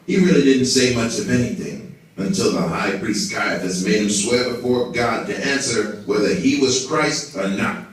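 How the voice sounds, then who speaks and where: A self-important religious official puppet, acted by a man, formal and evasive, with a mid-pitched voice. The sound is very echoey and picked up from far off in a room. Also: normal speed, natural voice. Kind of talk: scripted puppet show dialogue. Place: indoor hall, behind a puppet stage. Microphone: far camera in the audience. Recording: echoey room, distant audience camera.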